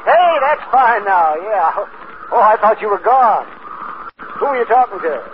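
A man's voice: high-pitched, big pitch swings, measured pace. An actor in a 1950s radio drama playing a carefree little spaceman who accepts death, wistful and easygoing. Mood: anxious calling. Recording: noisy, dull 1950s radio recording.

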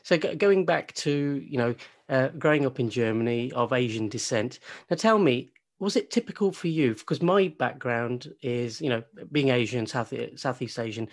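A man hosting a podcast interview, talking in a chatty way and often restarting his phrases. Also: medium pitch. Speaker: podcast host.

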